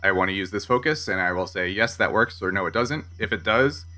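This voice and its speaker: A man narrating a tabletop sci-fi game as game master, halting and correcting himself as he explains. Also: low voice, expressive, bright, forceful tone. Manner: halting, self-correcting delivery.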